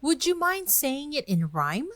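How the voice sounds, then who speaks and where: A woman's voice: high-pitched, big pitch swings, unhurried, no echo, pitched above the performer's own voice. A woman voicing a polite young girl, sympathetic and earnest, in a dramatic storybook reading. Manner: courteous, earnest.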